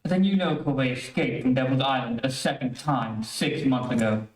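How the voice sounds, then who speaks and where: An actor playing a worried, earnest surgeon in a 1930s radio drama, with formal and urgent delivery. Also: male voice, medium pitch, moderate pace, natural voice. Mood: defensive. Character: worried, earnest male surgeon under death threat. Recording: roomy, distant-miked stage recording.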